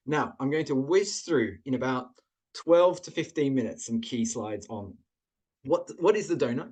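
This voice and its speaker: A man's voice: medium pitch, darkish tone. Guy presenting slides on a video call, earnest, explains stuff in long lists. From Australia. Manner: earnest, explanatory, lists points with rising emphasis. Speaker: webinar presenter.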